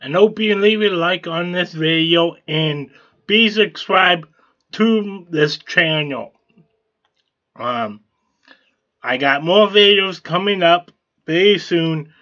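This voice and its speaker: A man's voice: sits high, takes it slowly, pauses often, pushed and bright, muffled recording. A man hosting a vlog, narrating how he hand-draws a t-shirt design in a plain, repetitive way with fillers and restarts. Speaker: t-shirt artist, channel host. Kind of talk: narrated drawing tutorial.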